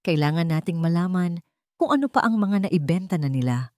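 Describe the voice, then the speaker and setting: A woman narrator plays a calm, steady Filipino man in a romance audio drama. He advises cautiously and firmly, in a dry, close recording. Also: lowish voice, brisk, dry recording, natural voice. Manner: calm, firm.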